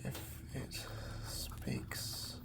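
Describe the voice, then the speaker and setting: A man doing improvised ASMR in a breathy whisper, unhurriedly murmuring to himself as he works. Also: extremely slow. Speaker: ASMR host.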